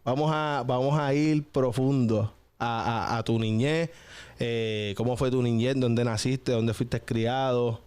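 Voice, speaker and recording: Male interview host, clear resonant mid-range voice, casual delivery. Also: medium pitch, fairly even intonation, slow, darkish tone. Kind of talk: casual conversational hosting.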